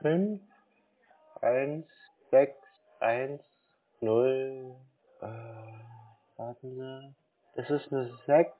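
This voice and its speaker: Slow, dithering man on a dull line. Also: mid-range voice. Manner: dithering, halting, repeats himself. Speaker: scam baiter playing a gullible customer. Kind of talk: phone call, slow put-on pensioner act. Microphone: phone call. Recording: dull band-limited phone line.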